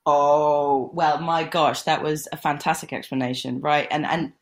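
A woman's voice: deep voice, fairly even intonation, unhurried. Gushing woman host in her thirties. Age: 30s. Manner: enthusiastic, gushing. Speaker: podcast host. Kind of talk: casual interview chat.

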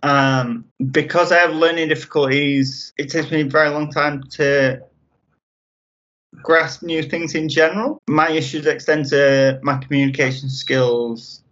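A man from England talks through his answers in a casual interview. He halts often, restarting phrases with ums. His voice sits a bit high, and the recording sounds dull and lo-fi. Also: fairly even intonation, a bit bright. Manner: halting, with frequent ums and restarts. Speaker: interview guest.

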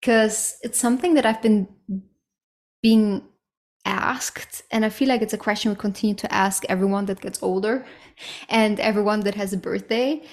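A woman's voice: medium pitch, fairly even intonation, moderate pace. A woman in her thirties hosting a solo podcast, talking candidly and casually, with frequent fillers and the odd swear word. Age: 30s. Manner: candid, casual and lightly profane, with frequent "like" and "right?". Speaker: podcast host. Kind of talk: reflective solo podcast monologue.

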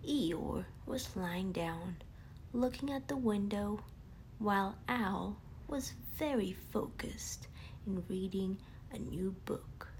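Female bedtime storyteller: gentle, unhurried, creaky, faint background noise. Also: mid-range voice, poor audio. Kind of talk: scripted bedtime story narration for children.

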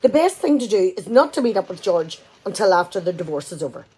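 A woman's voice: medium pitch, very animated, fast, a little mellow, husky, rough recording. An amateur actress, a woman, playing a practical, firm wife in a conversational domestic radio play.

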